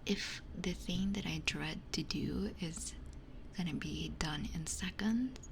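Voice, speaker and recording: A woman whispering softly and unhurriedly in casual ASMR chat, musing in short phrases close to a binaural microphone. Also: on the low side, takes it slowly. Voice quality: breathy whisper. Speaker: ASMR livestreamer. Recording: close-miked with faint background noise.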